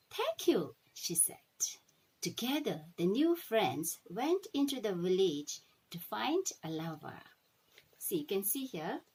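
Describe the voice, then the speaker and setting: Animated, unhurried woman reading to children. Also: fairly high pitch, husky. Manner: checks in with listeners using short questions. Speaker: English teacher reading aloud to children. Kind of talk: animated storybook read-aloud.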